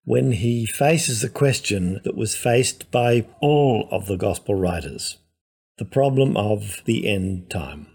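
A male priest and professor giving a scripted gospel reflection in a measured, explanatory manner. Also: lowish voice, a little mellow, slightly rough.

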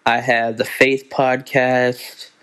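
A young man talks slowly and casually as the host of his own podcast. He often restarts his sentences and stumbles over his words. His voice sits at an ordinary pitch for a man. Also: level tone, a bit bright, clear, resonant tone. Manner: halting, restarts and fillers, slow unhurried delivery. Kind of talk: casual solo podcast announcements.

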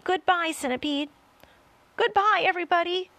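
A woman's voice: fairly high pitch, sing-song, moderate pace, gravelly, exaggerated voice. A woman voicing an earnest, commanding young boy in a storybook, speaking with urgent exclamations. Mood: desperate farewell.

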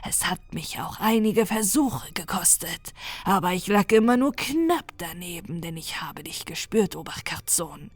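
Woman doing eerie male spirit-being, slow breathy whisper, scornful, dry close storybook read. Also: fairly low pitch, lively intonation, dry recording. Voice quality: airy unvoiced whisper, slow and drawn out.

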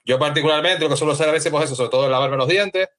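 A man moderating a casual social audio chat, with a relaxed, announcer-like way of speaking. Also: fairly high pitch, racing. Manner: easygoing, animated, radio-announcer-like delivery.

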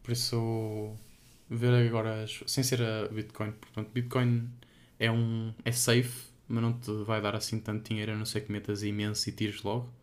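A man's voice: on the low side, monotone, normal speed. A young man with a European Portuguese accent chatting casually and informally as a podcast co-host, using plenty of slang. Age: young adult. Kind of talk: casual chat.

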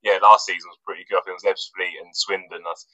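A man's voice: deep voice, fast, no room sound, low-quality recording. A man, a footballer from England, talking casually in an interview with lots of fillers and restarts. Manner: relaxed, hesitant, many fillers and restarts. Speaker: footballer guest.

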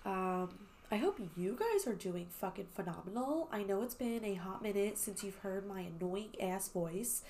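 A woman's voice: medium pitch, expressive, moderate pace, hardly any pauses, darkish tone, slight background noise. A young woman hosting a podcast, chatting casually with lots of fillers and swearing, her voice a little nasal and congested. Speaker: podcast host. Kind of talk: casual chat.

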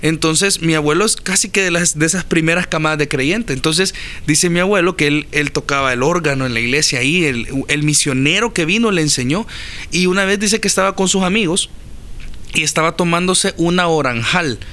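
A man's voice: a little high, fairly quick. A man from Santa Ana, El Salvador, with a Salvadoran accent, co-hosting a casual podcast chat and telling anecdotes. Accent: Salvadoran Spanish. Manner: storytelling, animated anecdotes. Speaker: podcast co-host.

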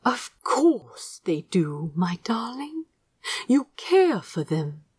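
A woman voicing a gentle, fussy, ancient male angel bookseller in an audiobook, speaking tenderly and softly, often close to a whisper. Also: on the high side, very animated, drawn-out words, breathy edge, no echo, heavily acted. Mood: tender, pleading. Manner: tender, soft, often dropping into near-whisper. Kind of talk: audiobook character dialogue.

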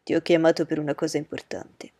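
Woman doing a calm wry father. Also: dry recording. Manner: lowered, measured, wry. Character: calm dry ironic older father.

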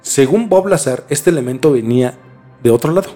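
A man's voice: medium pitch, lively intonation, fairly quick, warm tone, soft background music. A man with a Mexican accent explaining things in casual conversation as a podcast co-host, informally and repeating words for emphasis.

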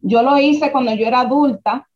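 A young Dominican woman with a Dominican accent, speaking as an interview guest in a lively way, with restarts and rhetorical questions. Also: high-pitched, normal speed. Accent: Dominican Spanish. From Dominican Republic. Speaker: guest, founder of a writers' platform. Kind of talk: casual interview conversation. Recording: dull lo-fi sound.

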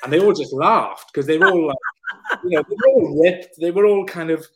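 Animated, sweary man telling his story. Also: fairly high pitch, brisk. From South Wales. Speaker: guest, personal trainer and coach. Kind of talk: candid storytelling in conversation.

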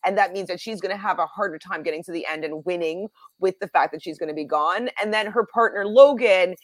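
A woman's voice: lowish voice, fairly quick, no room sound. A woman chatting casually and giving her informal opinions as a panelist on a recap show. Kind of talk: casual chat.

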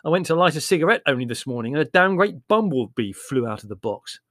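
Man acting a loud, pompous young intellectual. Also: mid-range voice, expressive, a little fast, no echo, studio quality, voice pushed up. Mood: bitter. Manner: airy, pompous, emphatic. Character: supercilious, opinionated young literary man, eldest brother. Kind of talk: audiobook character voice.

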